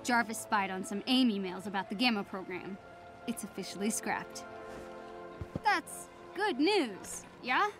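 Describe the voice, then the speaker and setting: A woman's voice: medium pitch, expressive, measured pace, close to an everyday voice. An actress playing an earnest, upbeat teenage superhero girl, informal and encouraging, in a video game. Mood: upbeat, reassuring. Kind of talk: naturalistic video game cutscene acting. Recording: music behind the dialogue.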